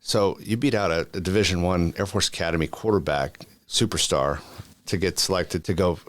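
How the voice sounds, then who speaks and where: A man's voice: sits low, fairly quick, no echo. A middle-aged man hosting a podcast in casual chat, friendly and chatty, laughing easily, in a chapel room. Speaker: podcast host, commander.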